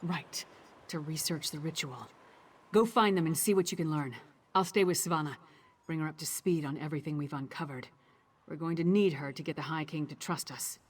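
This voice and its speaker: A woman playing a tough, practical warrior in a fantasy video game, giving blunt orders in a husky voice. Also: low-pitched, moderate pace. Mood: businesslike. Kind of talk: scripted video game dialogue.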